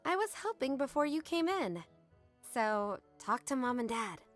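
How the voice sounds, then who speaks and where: A woman's voice: high voice, very animated, measured pace, fairly bright tone, faint music behind, full character voice. A woman playing a casual, bossy young sister with a teasing streak in an audio drama. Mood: casually bossy.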